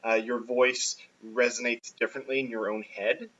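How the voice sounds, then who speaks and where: Young man, middle-pitched, blunt and opinionated, hesitant fillers, dull lo-fi recording. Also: level tone, moderate pace, fairly bright tone. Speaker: podcast co-host. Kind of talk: casual film podcast chat. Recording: muffled low-quality recording.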